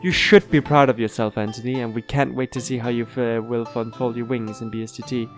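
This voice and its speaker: A man's voice: medium pitch, fairly even intonation, brisk, faint music behind, close to an everyday voice. A man playing a courteous, encouraging board interviewer in an amateur audio drama, speaking formally.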